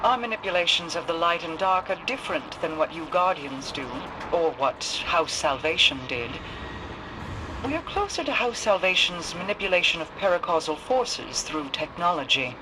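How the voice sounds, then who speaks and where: A woman playing a scholarly mystic technologist in a video game, explaining frankly in a formal, measured way. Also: fairly low pitch, expressive, lightly acted. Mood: measured, explanatory. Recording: noisy outdoor-like ambience behind voice.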